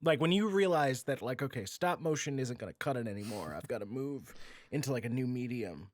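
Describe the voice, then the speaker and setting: A man's voice: mid-range voice, lively intonation, brisk, no room sound, studio quality. A man hosting a podcast in a casual chat style, informal and joking, often repeating words. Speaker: podcast host.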